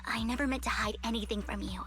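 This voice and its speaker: An actress voicing a prim, devoted young lady's maid in a video game, formal and courteous, speaking in a soft, hushed way. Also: fairly high pitch, steady tone, fast, soft background music. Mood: hushed, earnest. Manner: formal and courteous, gushing toward her lord.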